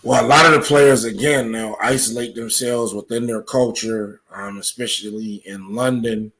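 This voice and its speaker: A man's voice: mid-range voice, steady tone, moderate pace, a bit bright, poor audio. A man answering questions as a podcast guest, explaining his points in a conversational way. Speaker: podcast guest, article author. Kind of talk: interview answers, explanatory talk.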